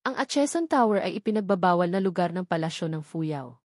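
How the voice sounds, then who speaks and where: A woman's voice: lowish voice, lively intonation, a little fast, a little mellow, no echo, clean studio sound. A woman reading a novel aloud as scripted audiobook narration.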